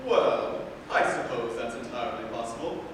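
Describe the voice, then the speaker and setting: A man's voice: mid-range voice, lively intonation, moderate pace, natural voice. Man playing a haughty father king, distant and echoing. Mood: fatherly. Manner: formal, haughty, dramatic. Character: pompous, protective father king, easily affronted. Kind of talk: staged play reading. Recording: echoey hall, distant mic, background noise.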